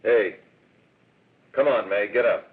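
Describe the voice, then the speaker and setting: An actor playing a gruff, bossy small-time dope peddler with curt, needling, slangy 1930s film delivery. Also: male voice, fairly low pitch, takes it slowly. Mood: bossy, irritable. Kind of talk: 1930s film acting, slangy tough talk. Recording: tinny, hissy 1930s film soundtrack.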